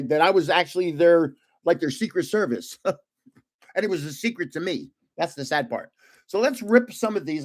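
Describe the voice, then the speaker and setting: Grandfatherly stream host, 70s, animated storyteller with a booming laugh-filled delivery and lively pitch. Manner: animated, laughs often, rambling anecdotes; self-described booming voice when yelling. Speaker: livestream host opening trading cards. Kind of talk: casual storytelling chat.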